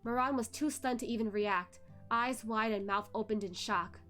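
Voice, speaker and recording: A woman's voice: on the high side, moderate pace, a bit bright. Woman dramatically narrating over faint music. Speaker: streamer reading a web novel aloud.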